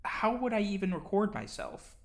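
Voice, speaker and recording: A man's voice: high voice, very animated, normal speed. A man giving an earnest, reflective scripted narration of his own life, with short acted dialogue. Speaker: narrator, audio drama producer and actor. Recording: long reverb, clear music behind. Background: music bed.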